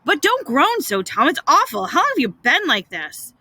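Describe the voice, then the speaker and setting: Woman voicing a frightened, high-pitched little boy. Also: wildly swooping pitch, normal speed, sharp tone, heavily acted. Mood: alarmed, worried. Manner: urgent, high, exclaiming. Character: frightened, earnest younger boy, worried brother. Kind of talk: storybook character voice.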